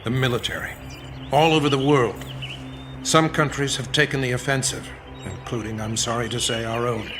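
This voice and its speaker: An actor playing a grave, weary American president in a radio drama, measured and commanding. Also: male voice, medium pitch, close to an everyday voice. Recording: music behind the voice.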